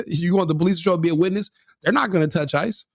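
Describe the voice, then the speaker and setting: A man's voice: high voice, quick pace, creaky, dry recording, clean studio sound. A man giving crude, mocking commentary on a video, sneering and laughing often.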